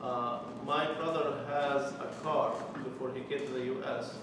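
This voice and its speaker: A man's voice: mid-range voice, steady tone, unhurried. A man in his forties from Palestine with a Palestinian Arabic accent, a church mission developer giving an earnest personal testimony with wry jokes. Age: 40s. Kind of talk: personal testimony talk to a committee. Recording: echoey room from far off, with noise.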